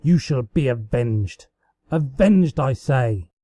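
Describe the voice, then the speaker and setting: A man's voice: medium pitch, expressive, slow, dark tone, dry recording. A man voicing a playful pony princess with a grandiose, melodramatic and exclamatory delivery in a story reading. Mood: mock-heroic drama. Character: playful, theatrical pony princess mock-heroically defying her attacker.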